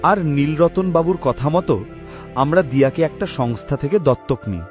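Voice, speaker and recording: A man's voice: fairly high pitch, animated, normal speed, faint music behind, natural voice. A man playing a burdened, sorrowful husband and father in a melodramatic cartoon. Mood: troubled.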